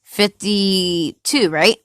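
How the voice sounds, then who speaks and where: A nineteen-year-old woman asking a steady run of quick, curious follow-up questions in a casual chat at home. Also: mid-range voice, clear, resonant tone, dry recording, studio quality.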